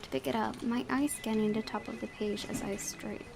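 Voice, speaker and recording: A woman's voice: on the high side, fairly even intonation, a little fast, creaky, very noisy. A woman hosting a gaming video, reading visual novel narration aloud and occasionally stumbling and correcting herself.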